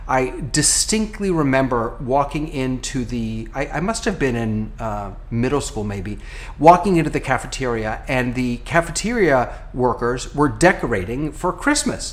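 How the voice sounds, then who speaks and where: A middle-aged American man, a rabbi, hosting a relaxed podcast conversation over a video call from his home office, talking in an animated way with frequent restarts. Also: medium pitch, normal speed. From United States.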